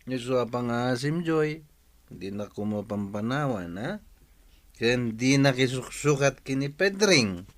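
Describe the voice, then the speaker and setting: An actor in a radio drama playing a drunken, possessive young husband with slurred, drawling speech. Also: male voice, mid-range voice, animated, measured pace, lightly acted. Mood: drunk, jealous. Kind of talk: Ilocano radio drama acting.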